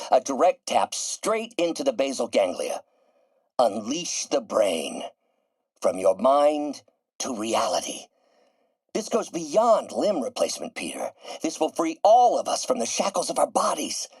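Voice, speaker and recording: An actor voicing a grandiose, middle-aged scientist turning villain in a video game, theatrical and erudite, with precise enunciation. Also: male voice, lowish voice, a little mellow, husky, no echo, exaggerated voice. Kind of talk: video game voice acting, dramatic monologue.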